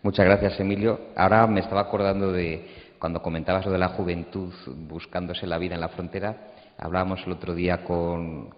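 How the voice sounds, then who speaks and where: Deep-voiced man moderating in a reverberant hall. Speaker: panel moderator. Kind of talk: unscripted panel introduction. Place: stage in an auditorium. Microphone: handheld microphone. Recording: boomy reverberant hall, muffled sound.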